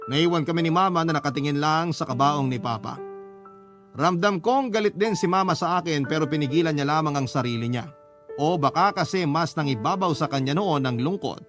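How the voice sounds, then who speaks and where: A Filipino man with a slightly high voice narrates a heartfelt letter in the first person at a measured, steady pace. Music plays clearly beneath his reading. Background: clear music underneath.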